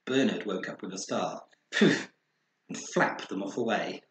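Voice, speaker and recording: A man reading a children's story aloud expressively, with dramatic pauses and acted sound words. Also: fairly low pitch, fairly bright tone. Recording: roomy echo, faint background noise.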